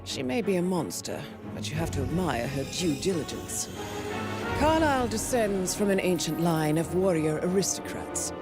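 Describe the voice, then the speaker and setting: Cool handler briefing an assassin, lively intonation, music behind. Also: female voice, fairly low pitch, moderate pace. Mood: poised. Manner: crisp, dry and composed. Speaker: mission handler. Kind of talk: scripted mission briefing. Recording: game cutscene over music.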